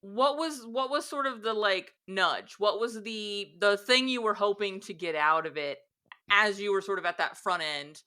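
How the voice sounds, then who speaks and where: A woman's voice: mid-range voice, pushed and bright. An American woman hosting a podcast interview, upbeat and enthusiastic in casual chat. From United States. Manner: upbeat and enthusiastic, quick reactions. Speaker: podcast host.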